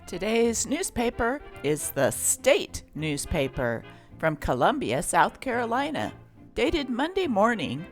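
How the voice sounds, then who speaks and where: A woman's voice: mid-range voice, very animated, slow pace, soft background music. A woman hosting and reading old newspaper articles aloud in a scripted narration style.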